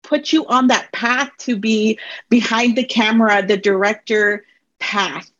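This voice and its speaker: A woman's voice: fairly high pitch, steady tone, a little slow, a bit bright. Middle-aged woman interviewer, dull audio. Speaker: interviewer host. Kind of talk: casual interview questions.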